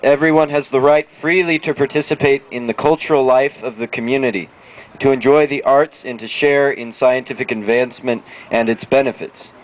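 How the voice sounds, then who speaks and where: A man with a medium-pitched voice reads a formal document aloud. He speaks as a reader at a public outdoor event. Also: steady tone, moderate pace, low-quality recording. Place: outdoor town plaza.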